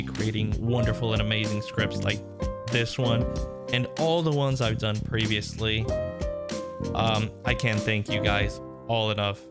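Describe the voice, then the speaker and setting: A man hosting his own channel, giving a casual, upbeat and grateful outro as a voice actor. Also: on the low side. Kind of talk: casual YouTube outro chat.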